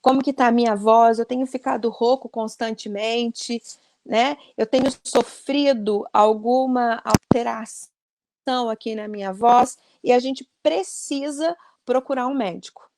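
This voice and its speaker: A woman's voice: on the high side, measured pace, a little mellow, a little hiss or hum. A woman speech therapist giving an earnest explanatory talk on a video call, often adding "né?". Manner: earnest and explanatory, many "né?" tags.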